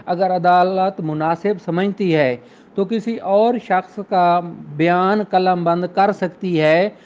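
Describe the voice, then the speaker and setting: A man giving an explanatory lecture in a didactic way, mixing in slowly and deliberately read English phrases. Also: sits high, fairly even intonation, a little mellow, clean tone, highs cut off. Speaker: law lecturer. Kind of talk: explanatory law lecture with examples, code-switching into English.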